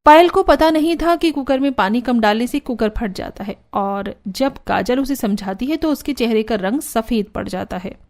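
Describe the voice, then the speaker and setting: A woman narrates a scripted cartoon story as its storyteller. Her voice is high, and music runs behind the narration. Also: animated, moderate pace, hardly any pauses, darkish tone.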